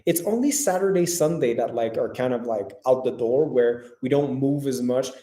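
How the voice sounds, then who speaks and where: A young man hosting a travel vlog livestream, talking casually and quickly with lots of "like" fillers. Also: medium pitch, distant mic. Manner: quick, animated, heavy use of "like". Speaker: travel vlogger host. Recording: echoey, a bit off-mic.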